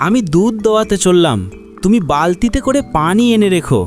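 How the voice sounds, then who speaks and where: A bossy, crooked village milkman played by a man speaks in a high, very animated cartoon voice. He wheedles and scolds as he argues. Loud music plays behind him. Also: a little fast. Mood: casual. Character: scheming, bossy village milkman, a dishonest husband. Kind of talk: cartoon moral-tale acting.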